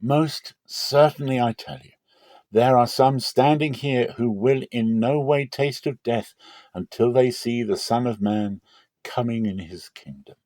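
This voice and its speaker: A man voicing a solemn, authoritative male teacher and prophet in a scripture reading, grave, emphatic and deliberate. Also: mid-range voice, a little mellow, dry recording, voice pushed up, lightly acted. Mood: solemn, urgent.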